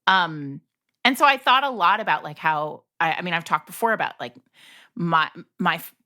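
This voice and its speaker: A woman with a bright, forceful voice co-hosts a podcast in easy conversation. She is animated and thinks aloud, restarting her sentences often. Her voice sits a little low. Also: normal speed. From grew up speaking Italian at home. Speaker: podcast co-host. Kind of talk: casual book discussion.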